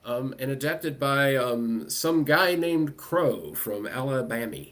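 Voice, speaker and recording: A man hosts a panel in a relaxed conversational style. His voice sits at an average male pitch as he talks casually to the group. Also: slow pace, slight background noise. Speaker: panel host. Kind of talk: casual panel talk and introduction.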